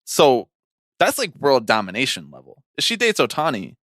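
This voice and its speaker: A man's voice: mid-range voice, huge pitch swings, normal speed, a bit bright, dry recording, clean studio sound. A man co-hosting a podcast in casual banter, with a teasing, opinionated way of talking. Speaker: podcast co-host.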